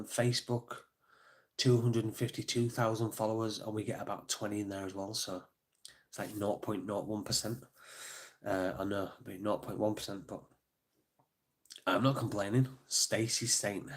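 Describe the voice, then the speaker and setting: A man's voice: on the low side, fairly even intonation, moderate pace. A middle-aged man hosting a casual live stream, a bit bunged up and sniffly, thanking viewers by name with plenty of ums. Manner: chatty, thanks viewers by name, many fillers. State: congested, sniffly.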